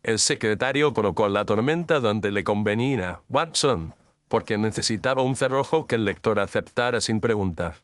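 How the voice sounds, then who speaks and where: A man playing a calm, incisive detective in an audio drama, speaking in a measured, analytical way. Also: on the low side, no echo, close to an everyday voice. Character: calm, incisive male detective, precise reasoner.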